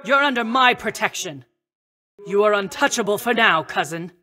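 A woman's voice: medium pitch, expressive, normal speed, bright, forceful tone. A woman voicing a noble, protective goddess of wisdom, speaking in a stately, commanding way. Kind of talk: video game voice lines.

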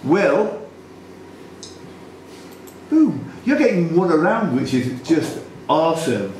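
Animated man bantering across an echoey room. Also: a little high, takes it slowly, distant mic. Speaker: quiz scorekeeper and organiser. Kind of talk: casual banter. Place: indoor pub room. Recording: noisy echoey pub, off mic.

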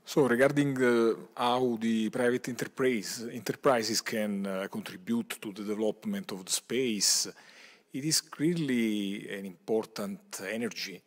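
Low-voiced man answering on a panel. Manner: measured, halting with fillers. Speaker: panelist, space scientist. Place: large lecture hall stage. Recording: long hall reverb.

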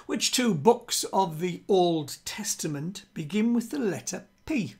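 An older man with a British accent hosts a quiz. His voice is husky and full of creak, and he takes his time. He delivers things deliberately, often saying them twice over. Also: high-pitched, lively intonation, darkish tone. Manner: deliberate, repeats himself. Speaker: quiz host. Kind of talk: reading quiz questions to camera. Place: small room at home with bookshelves.

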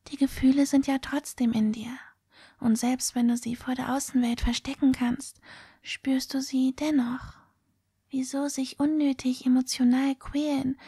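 Woman doing soft whispery dreamy schoolgirl for audio drama, highish voice, candid n gentle, dry close sound. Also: breathy edge, no room sound, heavily acted. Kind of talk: amateur audio drama acting.

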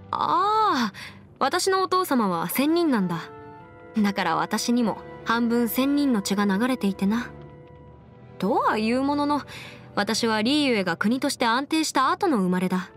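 A woman voicing a confident, brisk young half-immortal lawyer in an anime game, with crisp, formal phrasing and music behind her. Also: fairly high pitch, animated, a bit bright. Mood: friendly, businesslike. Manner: crisp, self-assured, formal mannish phrasing. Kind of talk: scripted anime game character voice lines. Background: background music.

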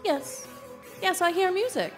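An actress playing a pompous, bossy famous writer in a live radio drama, gushing and imperious in a theatrical manner. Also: female voice, very high voice, lively intonation, measured pace, soft background music. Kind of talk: old-time radio drama acting.

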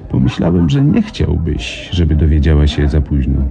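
An actor playing a grave man quietly warning a friend of danger, in a radio play. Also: rumbling low, wildly swooping pitch, moderate pace, creaky, lo-fi sound, full character voice. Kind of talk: radio drama acting.

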